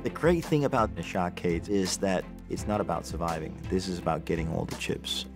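A man in his forties giving a relaxed, reflective interview about his life. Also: deep voice. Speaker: poker player. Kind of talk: sit-down interview. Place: dark studio set. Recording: music bed behind.

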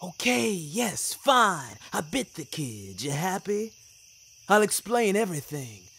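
A man's voice: high-pitched, very animated, slow, creaky, pushed and bright, slight background noise, exaggerated voice. A man voicing a jovial, worldly old wolf in an audio story, theatrical and genial with a sly edge. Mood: conceding, persuading. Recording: music bed under the voice.

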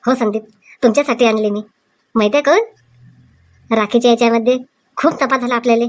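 A woman's voice: a little high, fast, a little hiss or hum, natural voice. A woman voicing an enterprising, cheerful young wife in a comic cartoon, chatting eagerly. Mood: pleased, eager.

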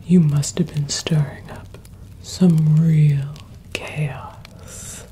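Young woman for whispered ASMR witch role: very slow, low-pitched, doting, soothing, close-miked. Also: flat delivery, warm tone. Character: doting, mischievous witch pampering her cat familiar. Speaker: ASMR performer. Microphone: close ASMR microphone.

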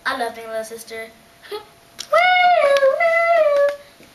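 A woman's voice: on the high side, normal speed, natural voice. Young girl doing a playful bratty little sister, over the top comic monologue, echoey, far off mic. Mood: gleeful, teasing. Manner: gloating, exaggerated, playful. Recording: echoey hissy room, distant mic.

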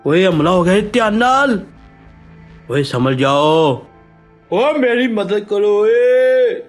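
An actor playing an excitable male Punjabi soldier in a mobile game, barking comic, commanding battle callouts. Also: sits high, slow. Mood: alarmed, urgent. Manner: exclamatory, commanding. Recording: game audio with music behind.

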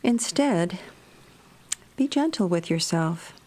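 A woman meditation teacher giving guided meditation narration in a gentle, measured manner, with deliberate pauses between phrases. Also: on the low side, expressive.

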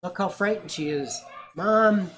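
A reader voicing a young girl who begs loudly to save an animal in a storybook. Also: mid-range voice, a little slow, a little hiss or hum, close to an everyday voice. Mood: pleading. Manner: pleading, raised and urgent.

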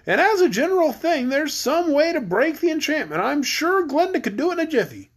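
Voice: sits high, very animated, brisk, voice pushed up. A reader voicing a kindly living male scarecrow from a storybook, with theatrical, exclamatory delivery. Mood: comforting. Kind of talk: storybook character voice.

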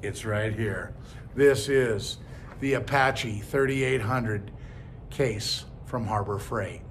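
A man's voice: on the low side, slow. Man in his fifties, chatty hands-on demo, classroom table, faint room noise. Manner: chatty, step-by-step, repeats himself. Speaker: product reviewer. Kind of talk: casual product demo.

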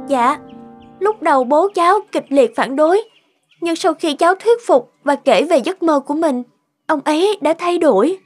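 Very high-voiced gentle young woman. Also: expressive, normal speed. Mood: earnest, serene. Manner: earnest, polite, explanatory. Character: gentle, selfless, terminally ill young woman. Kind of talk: sentimental cartoon dialogue. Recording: music behind the voice.

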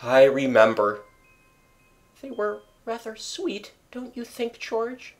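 A reader voicing a gentle, placid mother in a storybook, speaking softly and higher. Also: steady tone, unhurried, lightly acted. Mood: wistful. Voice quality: soft, hushed.

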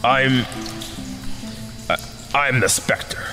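A man's voice: medium pitch, extremely slow, pushed and bright, long reverb tail. A man playing an earnest, flustered young masked hero with a hesitant, exasperated manner in a comic audio drama. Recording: music behind.

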